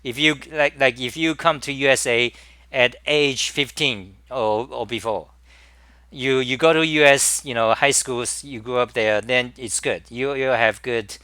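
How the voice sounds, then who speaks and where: A man with a Chinese accent hosting a live-stream talk, speaking in halting bursts with many restarts and repeated words. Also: mid-range voice, bright, forceful tone, smooth, dry recording. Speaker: host.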